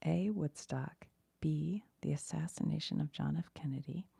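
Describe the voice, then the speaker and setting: Breathy whispering woman with creaky edges. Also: sits low, moderate pace, dry recording. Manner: hushed, musing aloud. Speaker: ASMR streamer reading trivia questions and viewer comments. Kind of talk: whispered ASMR trivia read-aloud with casual asides.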